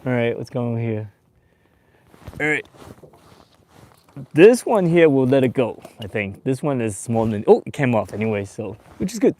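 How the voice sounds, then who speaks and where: Relaxed chatty man in his forties. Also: medium pitch, moderate pace, pauses often. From Taiwan and Australia. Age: 40s. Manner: relaxed and chatty, with bursts of excitement when a fish bites. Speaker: fishing show host. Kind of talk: casual livestream while fishing. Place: on a boat on a lake, outdoors.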